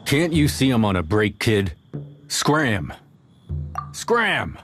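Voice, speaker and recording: Gruff, animated ex-bandit chef. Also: male voice, on the low side, slow, over music. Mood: gruff, reluctant. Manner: brusque, faltering when nervous. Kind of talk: expressive video game voice acting.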